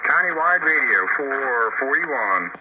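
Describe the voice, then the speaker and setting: A man's voice: mid-range voice, lively intonation, takes it slowly. Man on a muffled, noisy radio. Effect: heard over two-way radio. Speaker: radio hobbyist on a CB roundup net. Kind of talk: casual radio roundup chat.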